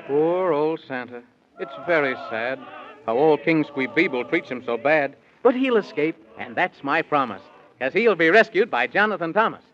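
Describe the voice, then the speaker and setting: A man's voice: on the high side, lively intonation, moderate pace, crowd noise. A man narrating a 1930s children's radio story, partly in rhyme. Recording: dull vintage 1930s radio recording.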